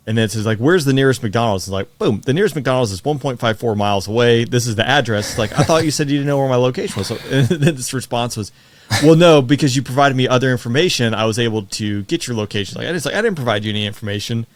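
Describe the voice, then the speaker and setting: A man's voice: mid-range voice, very fast, few pauses, no echo. A man co-hosting a podcast, talking in a casual, conversational way. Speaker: podcast co-host, pilot. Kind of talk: casual chat.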